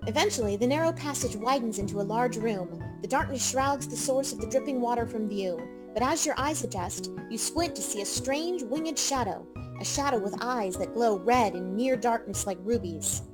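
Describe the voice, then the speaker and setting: A woman with a fairly high voice reads scripted narration for a visual novel. She tells the story in the second person over clearly audible music. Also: moderate pace, few pauses. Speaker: narrator and player character reader.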